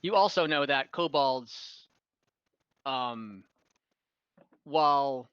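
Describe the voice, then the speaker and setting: Male game master, forceful and slightly high, improvising fantasy scenes in a halting, rambling way; dry, slightly muffled recording. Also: clean tone, dry recording. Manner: halting, rambling, self-correcting. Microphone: online voice call, close microphone.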